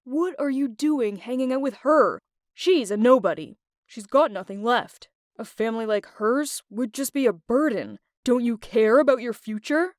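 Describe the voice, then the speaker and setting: A woman voicing the male characters of a story, a stern father, a boyfriend and a friend, in a lower, firmer voice. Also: expressive, moderate pace, no echo, clean studio sound, lightly acted. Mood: scornful.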